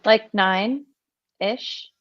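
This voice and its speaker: A woman acting a guarded young female camp counselor in a script read, on a muffled microphone. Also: drawn-out words. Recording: muffled, dull low-fi microphone.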